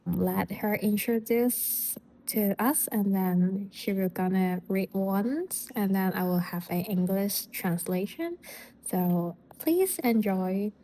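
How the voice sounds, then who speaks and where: A woman from Hong Kong hosting a casual podcast, speaking in a friendly, unhurried way with loose phrasing and small slips. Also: medium pitch, warm tone, a little hiss or hum, low-quality recording. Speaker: podcast host.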